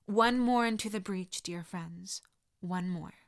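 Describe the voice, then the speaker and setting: A woman reading a gentle, bookish teenage boy with a hoarse voice. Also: mid-range voice, takes it slowly, no room sound, clean studio sound. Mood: solemn.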